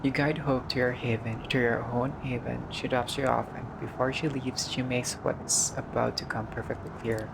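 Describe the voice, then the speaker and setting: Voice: medium pitch, fairly even intonation, moderate pace, hardly any pauses. A gamer doing a casual playthrough, reading visual novel text aloud with informal asides, some swearing and the odd stumble. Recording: clearly noisy recording.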